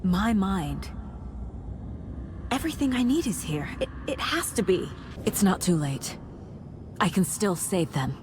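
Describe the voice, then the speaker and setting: A woman's voice: mid-range voice, big pitch swings, measured pace, pauses often, noisy background. A woman acting in a video game drama as an anguished but determined character trapped in her own mind. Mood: anguished, resolute.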